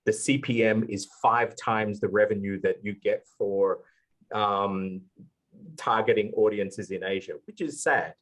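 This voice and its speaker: Brief: man on a live panel, low-pitched, earnest and explanatory. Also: fairly even intonation. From Australia. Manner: earnest, explanatory, halting with fillers. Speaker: panelist, language YouTuber. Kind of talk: live conference panel talk.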